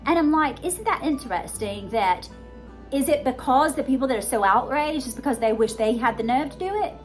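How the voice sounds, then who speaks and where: Higher-pitched woman with an adopted British accent. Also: lively intonation, a little fast, soft background music. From United States, born in Georgia. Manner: rambling, conversational, emphatic. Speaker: vlogger, self-help coach. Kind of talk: casual monologue with self-help teaching.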